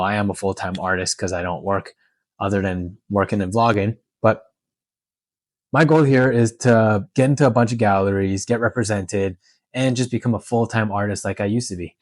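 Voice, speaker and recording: Low-voiced man in a casual vlog. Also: steady tone, moderate pace, no echo. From Canada and Hong Kong. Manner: candid, informal, reads questions aloud then answers. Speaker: tattoo artist and vlogger. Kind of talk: casual question-and-answer vlog.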